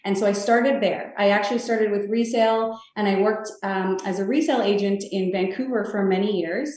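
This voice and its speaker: A woman in her thirties answers podcast questions in an earnest, halting way, her thoughts rambling and circling back. The sound is very echoey, with the mic set well away from her. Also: medium pitch, fairly even intonation, darkish tone. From Canada (Toronto). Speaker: real estate sales guest. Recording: very echoey room, distant mic.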